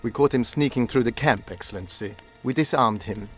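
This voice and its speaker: A man's voice: mid-range voice, fairly quick, breathy edge, soft background music, lightly acted. Curt, suspicious camp guard voiced by a man. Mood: dutiful report. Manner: curt, commanding. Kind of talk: scripted fantasy game dialogue.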